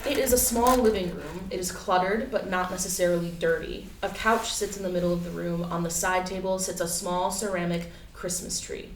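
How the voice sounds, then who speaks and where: A woman's voice: lowish voice, fairly even intonation, brisk, hardly any pauses, soft background music. A woman reading stage directions as the narrator of a staged play reading. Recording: echoey room, distant mic, faint noise.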